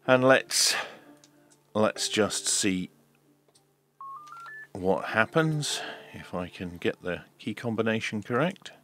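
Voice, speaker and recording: A man giving a hands-on tech demonstration in a measured, hesitant way, as if thinking aloud. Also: lowish voice, expressive, a bit bright, faint music behind. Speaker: host of a radio repair video.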